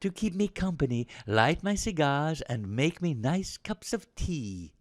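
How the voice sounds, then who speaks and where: A man acting as a well-bred young British army man in a wartime radio play. His upper-class English speech is clipped and superior, loaded with sarcasm. The voice sits at a medium pitch, recorded very dry and close. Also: lively intonation, normal speed, no echo, close to an everyday voice. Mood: sarcastic. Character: posh, well-bred, injured young British soldier, haughty. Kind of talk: audio drama acting.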